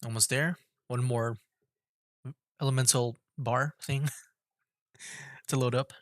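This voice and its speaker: A man's voice: mid-range voice, steady tone, slow pace, fairly bright tone, no echo, studio quality. A man giving casual livestream gameplay commentary, thinking aloud in an easygoing way as game music plays behind him. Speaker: game streamer.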